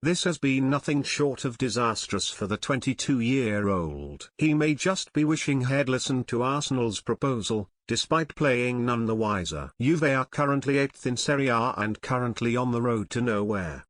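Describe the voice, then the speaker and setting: A man delivers scripted football news as a narrator, in a formal, journalistic manner. His voice sits at a medium pitch, and the sound is dry and close, free of room reflections. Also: dry recording.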